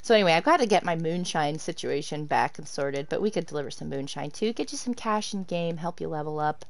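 A woman's voice: deep voice, expressive, brisk. A woman streaming a game and chatting casually with her viewers, laughing often as she answers them. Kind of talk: casual live-stream chat while gaming.

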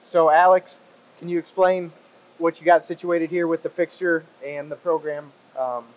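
A man's voice: fairly high pitch, steady tone, normal speed, low-quality recording. A man in his thirties presenting and interviewing in a casual, upbeat, conversational way as a video host. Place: industrial workshop, indoors. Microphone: clip-on wireless mic on shirt. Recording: clear background noise in workshop.

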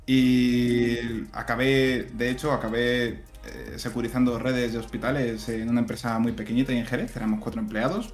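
A man in his thirties from southern Spain, chatting casually as a guest, laughing lightly and restarting his sentences. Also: medium pitch. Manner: relaxed, chuckling, many fillers and restarts. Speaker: guest software engineer and teacher. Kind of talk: casual interview chat. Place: room at home. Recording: echoey room, faint music.

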